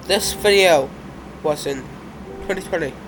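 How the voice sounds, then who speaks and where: A young man talks casually over videos at his desk, his voice a bit high and congested from a cold. He rambles in fits and starts, louder and softer by turns. A steady hiss of background noise sits under the home recording. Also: poor audio. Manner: rambling, halting, uneven loudness. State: stuffed up from a cold. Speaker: reaction video host. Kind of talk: casual reaction commentary. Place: indoors at a computer.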